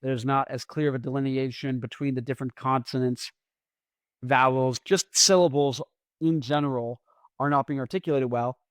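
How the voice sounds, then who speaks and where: A man's voice: mid-range voice, fairly even intonation, moderate pace, no room sound. A man hosting a podcast in a rambling, casual solo monologue. He mumbles his words and lets his S sounds drag out. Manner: rambling, self-deprecating. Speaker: podcast host.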